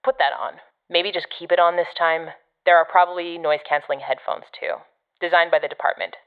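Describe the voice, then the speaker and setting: A woman's voice: on the low side, fairly even intonation, a little fast, clean tone, narrow, tinny audio. A woman playing a jaded agency operative in an audio drama, dry, sarcastic and casual, heard through a radio filter. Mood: brisk, instructing. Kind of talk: audio fiction podcast acting.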